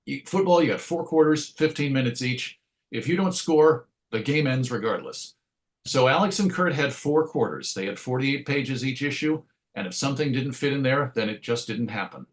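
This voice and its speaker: A man's voice: medium pitch, lively intonation, normal speed. Man giving thoughtful interview answers. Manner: thoughtful, explains through analogies. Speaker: interview guest, writer and radio host.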